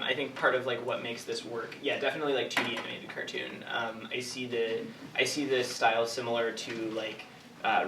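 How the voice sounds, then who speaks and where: A man's voice: medium pitch, bright, forceful tone. A man in his twenties giving informal, rambling answers as a writer at an audience Q&A. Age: 20s. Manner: hesitant, rambling, heavy use of "like". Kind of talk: informal Q&A talk. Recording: echoey room, distant mic, noisy.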